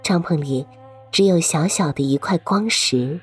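A woman's voice: low voice, unhurried, slightly rough, soft background music. A woman reading scripted story narration for an audio drama.

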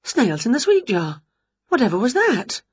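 A woman voicing an excitable, greedy, scatty woman from a children's storybook, gushing and exclaiming. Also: on the high side, measured pace, breathy edge, dry recording, muffled recording, pitched above the performer's own voice, heavily acted. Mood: wondering.

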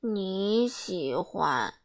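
Storybook role: a lazy, grumbling slowpoke man with a low, drawn-out drawl, as a woman puts him on. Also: big pitch swings, exaggerated voice. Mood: sluggish. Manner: very slow and drawn out. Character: sluggish sleepy grumbling man.

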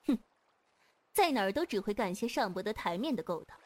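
A woman's voice: mid-range voice, big pitch swings, fairly quick, a little mellow, dry recording. A woman playing a commanding, self-assured female martial sect leader in a Chinese wuxia audio drama. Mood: scornful, commanding. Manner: imperious, self-assured, clipped orders.

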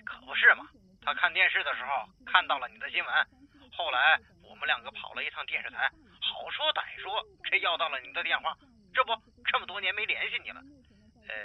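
Old buddy on the phone, man acting: highish gravelly voice, wildly animated, chummy, muffled line. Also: heavily acted. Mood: awkward, placating. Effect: telephone filter. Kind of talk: Mandarin radio drama phone call acting. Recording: dull, muffled phone-call sound.

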